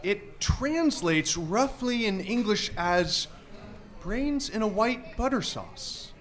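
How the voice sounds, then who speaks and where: Actor as bumbling nice husband, really high voice for a guy, bouncy, radio play. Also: slow, soft background music, exaggerated voice. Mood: puzzled. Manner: stammering, placating, excitable. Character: good-natured, bumbling, eager married male hotel guest. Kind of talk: live stage radio comedy acting.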